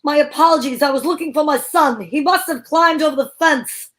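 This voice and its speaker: A woman voicing a smooth-talking, apologetic man who poses as a father in a radio play. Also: sits high, brisk, a bit bright. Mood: apologetic, polite. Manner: polite, apologetic. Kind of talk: old-time radio play acting.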